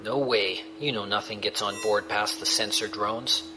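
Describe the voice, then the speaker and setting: Guy doing a low terse pirate crew guy, blunt. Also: fairly even intonation, moderate pace, close to an everyday voice, poor audio. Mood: glum. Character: glum male pirate crewman.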